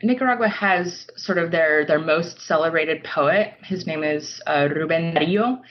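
A woman speaking as a writer being interviewed, thoughtful and measured, pausing mid-sentence as she reflects. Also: low voice. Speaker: podcast guest, nonfiction writer. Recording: dull lo-fi audio.

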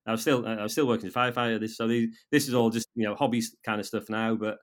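A man in his fifties from North Wales with a North Welsh accent, a guest in a casual interview who talks haltingly, repeating words and restarting his sentences. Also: on the low side, fairly even intonation.

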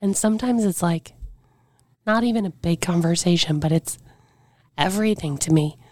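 A woman's voice: on the low side, moderate pace, no room sound, studio quality. A woman guest on a podcast talking candidly and earnestly about her life, her weakened voice sometimes slipping into a whisper. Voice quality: weakened voice that cannot get loud. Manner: earnest, reflective, with many "like" fillers. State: voice drops to a whisper at times. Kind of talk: candid personal storytelling.

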